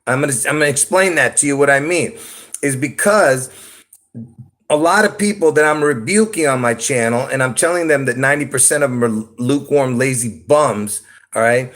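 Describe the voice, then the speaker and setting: A man's voice: mid-range voice, normal speed, a bit bright. Rambling man livestreaming from his phone. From Lebanon. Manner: meandering, stops to ask "okay?" and "all right?", chuckles often. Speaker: YouTube livestreamer. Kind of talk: rambling solo livestream monologue.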